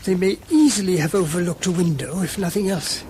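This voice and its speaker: A man with a British accent playing a gentle, inquisitive priest-detective in a radio drama, musing aloud politely and a little hesitantly. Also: sits high, brisk, a little hiss or hum, close to an everyday voice. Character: gentle, inquisitive, mild-mannered English priest-detective, male.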